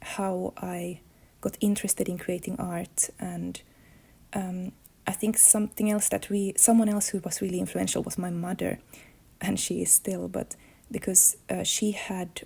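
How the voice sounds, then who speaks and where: Gravelly, even-toned Finnish-woman. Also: lowish voice, measured pace. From Finland. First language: Swedish. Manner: thoughtful, searching for words. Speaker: illustrator and comics artist. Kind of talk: reflective life-story monologue.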